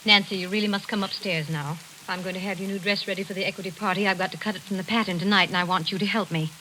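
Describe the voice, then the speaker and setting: A woman's voice: mid-range voice, fairly even intonation, racing, sharp tone, lightly acted, low-quality recording. An actress playing a bitter, domineering middle-aged mother in theatrical, overbearing 1940s radio drama style. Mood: insistent, coaxing. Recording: hissy 1940s radio broadcast.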